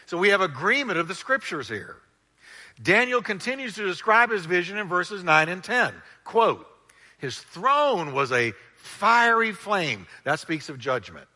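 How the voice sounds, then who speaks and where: A pastor preaching a sermon in a loud voice, with emphatic, dramatic delivery and stressed, drawn-out words. He calls on the congregation to repeat phrases after him. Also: fairly high pitch. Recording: long hall reverb.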